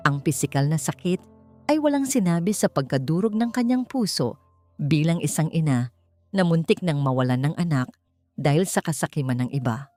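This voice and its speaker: A woman with a Filipino accent narrating a scripted dramatic story in a solemn, emphatic, deliberate way. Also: fairly low pitch, a little mellow, no room sound. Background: background music.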